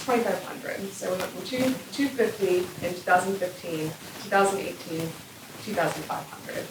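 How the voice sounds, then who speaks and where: A woman talks through her farm's story in an easy, upbeat panel chat, with plenty of ums along the way. Her voice sits a little low. It reaches the microphone from some distance in a room with a noticeable echo. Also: noisy background. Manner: chatty, upbeat, many fillers. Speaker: farmer on a panel. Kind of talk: casual panel talk. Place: event room. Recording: roomy, distant mic.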